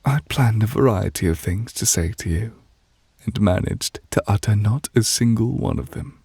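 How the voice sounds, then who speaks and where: A man playing a gentle, refined, possessive witch in love, speaking breathy and hushed in an intimate ASMR romance roleplay. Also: on the low side, moderate pace, no room sound, full character voice. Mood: tender, nostalgic. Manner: gentle, refined, wistful, formal phrasing. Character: soft-spoken, tender, possessive male witch in love.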